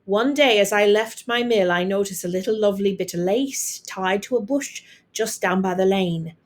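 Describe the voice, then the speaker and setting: A woman's voice: mid-range voice, moderate pace, close to an everyday voice. A woman voicing a fond Irish father, a theatrical storybook storyteller with a lilting manner and an Irish accent. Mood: fond storytelling. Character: Irish father, warm grand storyteller, wistful romantic.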